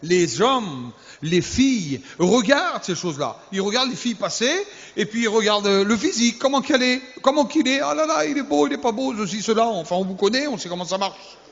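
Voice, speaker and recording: High-voiced preacher in an echoey hall. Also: male voice, lively intonation, a little fast, fairly bright tone. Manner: humorous, teasing, full of rhetorical questions; repeats key words for emphasis. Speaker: youth pastor. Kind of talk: sermon to young people. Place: church hall. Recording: echoey hall, dull lo-fi sound.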